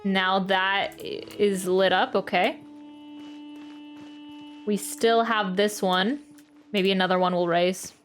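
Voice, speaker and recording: A woman's voice: medium pitch, fairly even intonation, takes it slowly, long pauses. A woman giving casual, chatty live commentary as a game streamer, thinking aloud as she plays. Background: video game music.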